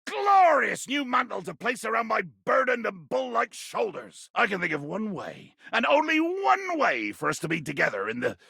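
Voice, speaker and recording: Blustery bloodthirsty pirate for a game, super raspy, sharp forceful voice that swoops all over, fancy old timey sea talk. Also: male voice, high voice, wildly swooping pitch, normal speed, few pauses, dry recording, studio quality, exaggerated voice. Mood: scheming, gloating. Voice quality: gravelly, raspy. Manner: bombastic, florid archaic pirate diction, erupting into shouts. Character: ranting, grandiose, bloodthirsty male pirate berserker, comically menacing. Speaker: voice actor. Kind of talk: theatrical video game character acting.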